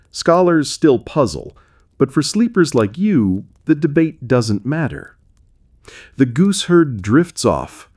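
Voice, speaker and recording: A man reading a scripted bedtime fairy tale in a soothing, measured way, as a storyteller would to help listeners sleep. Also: medium pitch, darkish tone. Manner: soothing, measured, unhurried delivery.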